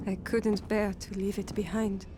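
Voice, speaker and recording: A woman's voice: mid-range voice, flat delivery, moderate pace, lightly acted. An actress playing a gracious but wary woman who survived a plague, polite and sober, in a video game. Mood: wistful. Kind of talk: video game cutscene acting.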